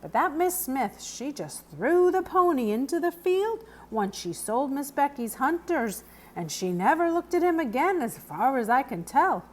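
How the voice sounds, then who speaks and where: A woman voicing a gruff but kindly old English countryman who works as a stableman in a children's storybook. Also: high-pitched, sing-song, measured pace, pitched above the performer's own voice. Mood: earnest, reproving. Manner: plainspoken, rustic turns of phrase. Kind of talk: children's novel read aloud, character voice.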